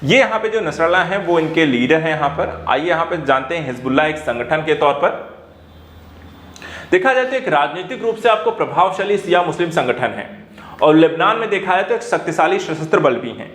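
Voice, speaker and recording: High-pitched man lecturing, echoey room. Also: expressive, a little fast, fairly bright tone. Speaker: teacher at an exam coaching academy. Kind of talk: explanatory current-affairs lecture.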